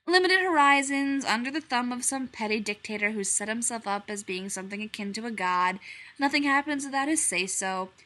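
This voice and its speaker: Woman reader voices a smug, sneering male stranger; bright, raised, contemptuous. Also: a little high, brisk. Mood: scornful. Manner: sneering, scornful.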